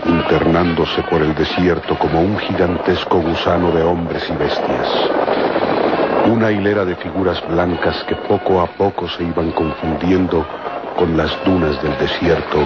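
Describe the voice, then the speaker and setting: Male narrator for vintage radio drama: very low register, solemn and measured, dull old recording under loud music. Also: steady tone.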